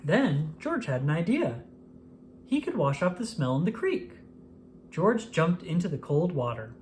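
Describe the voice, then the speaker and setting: Lively, expressive children's storybook narrator. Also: mid-range voice, unhurried, slight background noise. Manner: expressive, acts out exclamations and dialogue. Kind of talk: scripted storybook read-aloud.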